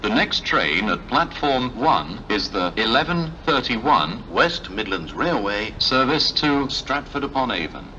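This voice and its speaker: A man's recorded voice making automated train announcements on a station platform, in a measured, clearly separated delivery. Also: lowish voice, sharp tone, lo-fi sound. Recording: station ambience, faint train noise.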